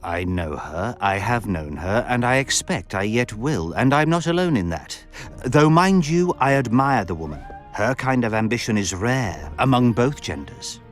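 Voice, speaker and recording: A man's voice: mid-range voice, lively intonation, moderate pace, close to an everyday voice. Guy doing a pompous lecherous count for a video game, super theatrical, voice swings all over, bit of music behind. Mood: lecherous, amused. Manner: theatrical, florid, pompous phrasing. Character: flamboyant, verbose, refined nobleman count, lecherous and sardonic. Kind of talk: video game cutscene voice acting.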